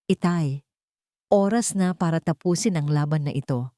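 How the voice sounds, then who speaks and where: A woman's voice: low-pitched, moderate pace, mellow, no echo, studio quality, close to an everyday voice. A woman voicing a betrayed pregnant wife in a dramatic audio story, emotional, anguished and defiant. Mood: calm, determined.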